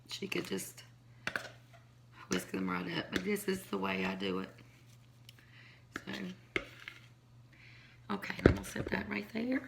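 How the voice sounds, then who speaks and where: A hoarse woman, chatting casually as she shows her home cooking in a kitchen. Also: medium pitch. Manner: chatty, rambling, folksy. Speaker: home cook. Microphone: phone or camera on counter. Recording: noisy home recording.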